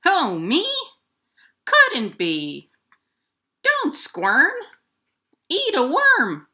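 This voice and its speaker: A woman's voice: high-pitched, huge pitch swings, very slow, sharp tone, voice pushed up, full character voice. A woman voicing a bold raven in a children's storybook, speaking emphatically. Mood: loud denial.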